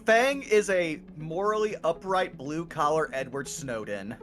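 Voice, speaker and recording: Chatty man with a higher voice. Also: expressive, a little slow, a bit bright, soft background music. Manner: chatty, stammers on restarts. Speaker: co-streamer. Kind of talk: casual chat on a live stream.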